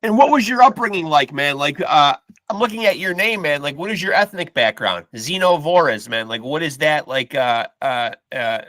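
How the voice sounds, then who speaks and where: A man's voice: on the high side, animated, moderate pace, sharp tone, dry recording. A man hosting a boxing podcast, asking questions in a laid-back way, stammering on restarts and calling his guest "man.". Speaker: podcast host. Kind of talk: casual interview.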